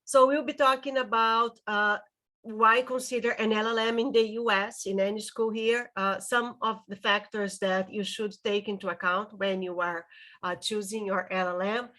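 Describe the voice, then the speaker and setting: A woman's voice: medium pitch, steady tone, normal speed, sharp tone. A woman from Brazil with a Brazilian Portuguese accent, a legal writing instructor giving a webinar presentation, often hesitating and repeating words.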